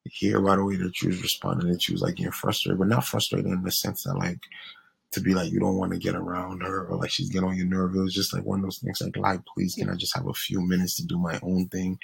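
A young man co-hosting a podcast in a slangy, joking, easygoing way. His voice is very low, heavily gravelly, and very raspy. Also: monotone, quick pace, low-quality recording. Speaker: podcast co-host. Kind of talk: casual chat.

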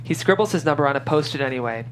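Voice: medium pitch, animated, very fast, a little hiss or hum. A narrator reading scripted stage directions at a table read.

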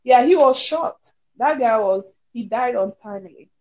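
Woman, Ghanaian accent, somewhat high pitch, casual chat, dull lo-fi sound. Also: lively intonation, a little slow, darkish tone.

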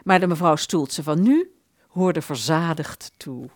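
A woman reading literary narration for a radio play in an expressive storytelling manner. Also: low-pitched, a little slow, dry recording, clean studio sound.

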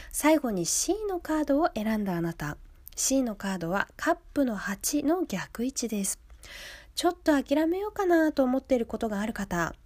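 A woman's voice: fairly high pitch, darkish tone, dry recording. A woman presenting a radio show, addressing listeners in polite, orderly announcer phrasing.

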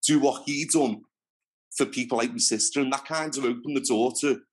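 Guy rambling on in an interview, chatty, keeps restarting sentences, medium pitch. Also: brisk, slightly rough. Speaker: interview guest, fitness trainer. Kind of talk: casual interview chat.

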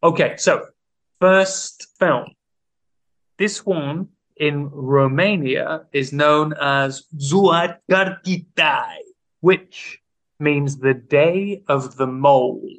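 A man's voice: on the high side, extremely slow, fairly bright tone. A man with a slightly raised voice runs a quiz in casual podcast banter. He teases and jokes like a playful quizmaster. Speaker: podcast co-host running a quiz. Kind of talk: casual comedic chat.

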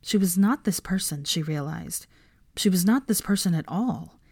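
A woman narrating a scripted story as an expressive reader of fan fiction. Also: fairly low pitch, moderate pace, gravelly, breathy edge, dry recording, clean studio sound.

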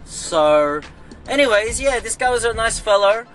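A young man with a Filipino English accent talks casually from behind the wheel, padding his stories with "you know." His voice sits a bit on the high side. Soft music plays faintly behind him. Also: expressive, measured pace. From Australia. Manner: rambling, chatty, lots of "you know". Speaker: rideshare driver vlogger. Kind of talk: casual storytelling vlog. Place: in a car, stuck in traffic.

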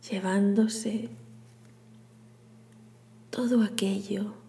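A woman leading a guided meditation in a soothing, hushed, breathy delivery with long pauses. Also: mid-range voice, little pitch movement. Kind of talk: guided meditation, scripted narration.

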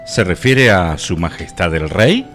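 A man's voice: sits low, big pitch swings, unhurried, fairly bright tone, faint music behind, full character voice. A man playing an obsequious royal servant in comic radio theatre, pompous and deferential.

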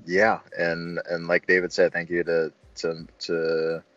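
A man's voice: low voice, completely flat delivery, slow pace, fairly bright tone. A man hosting a casual film review chat, talking in an informal, joking way. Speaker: review show host. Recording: slightly dull, roomy sound with faint music.

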